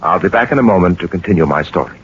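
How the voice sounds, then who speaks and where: An actor playing a brisk, commanding gentleman adventurer in a 1940s radio drama, clipped and authoritative. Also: sits low, animated, husky, exaggerated voice. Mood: grave narration. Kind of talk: 1940s radio drama acting and first-person narration. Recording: muffled, noisy 1940s radio recording.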